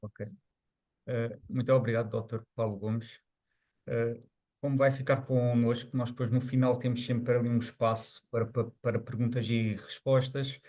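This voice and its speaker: A man hosting an online event, speaking haltingly with many restarts. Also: mid-range voice, level tone, warm tone. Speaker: event host. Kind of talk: hosting a webinar.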